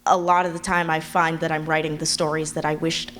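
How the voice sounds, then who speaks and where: A woman's voice: low-pitched, little pitch movement, brisk, fairly bright tone. A woman speaking earnestly and thoughtfully as a writer on a live panel. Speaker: panelist, audio drama writer. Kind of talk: earnest conversational panel talk. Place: convention panel room with background noise. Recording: noisy live convention panel room.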